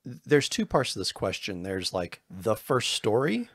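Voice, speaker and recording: Low, husky-voiced man chatting casually. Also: big pitch swings, a little slow, a bit bright, no echo. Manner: loose and rambling, with self-deprecating jokes. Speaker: podcast co-host. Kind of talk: casual podcast chat.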